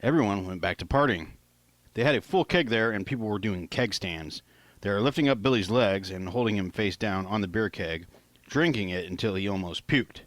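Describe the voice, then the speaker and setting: A man's voice: fairly low pitch, expressive, moderate pace, no echo. A man reading his own first-person novel aloud in a plain, informal amateur narration style.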